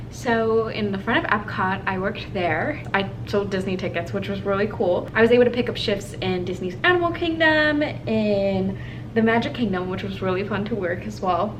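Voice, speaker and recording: A young American woman from Hawaii chatting casually and informally to camera in her apartment, thinking aloud as she does her makeup. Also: medium pitch. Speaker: video blogger. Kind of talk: casual chat to camera while doing makeup. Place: indoors in an apartment. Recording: clearly noisy home recording.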